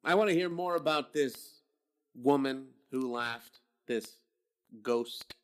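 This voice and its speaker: A man's voice: medium pitch, slow pace, slight background noise, close to an everyday voice. A man acting a blunt, skeptical police captain in a radio horror drama, talking in a brusque, demanding way. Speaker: voice actor.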